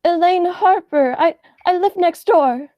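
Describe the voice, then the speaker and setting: A spirited young woman in love played by a teenage girl in an amateur radio play. The voice is high, and the delivery is dramatic and insistent, quick to take offence or alarm. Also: dry recording, dull audio. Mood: uneasy.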